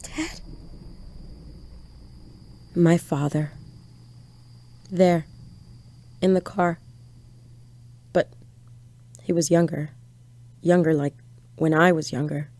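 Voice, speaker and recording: A woman's voice: deep voice, fairly even intonation, extremely slow, pauses often, darkish tone, full character voice. An actress playing a shaken woman alone on a night drive, narrating her visions in a wistful, theatrical audio drama style. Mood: desperate pleading, then stunned.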